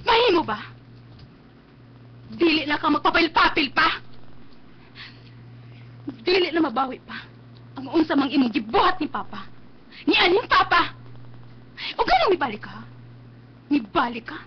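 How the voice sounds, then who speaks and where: An actress playing a stern, bitter elder sister in a radio drama, with a Visayan Filipino accent and an emphatic, accusing manner. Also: female voice, high voice, sing-song, normal speed, pauses often, a little hiss or hum. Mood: bitter, angry. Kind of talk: Philippine radio drama acting. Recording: dull lo-fi live stream, faint music.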